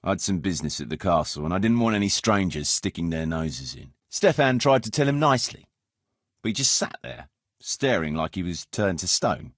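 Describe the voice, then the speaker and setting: A man's voice: low-pitched, big pitch swings, moderate pace, dry recording, clean studio sound, exaggerated voice. A man playing a roguish, world-weary medieval mercenary captain in a video game, firm but fond, speaking bluntly and colloquially. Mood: wry storytelling. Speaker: game character, band leader. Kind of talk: video game dialogue acting.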